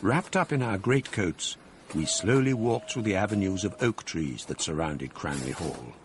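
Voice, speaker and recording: A man giving grave, measured narration of a period ghost story for radio drama. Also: low voice, lively intonation, noisy background.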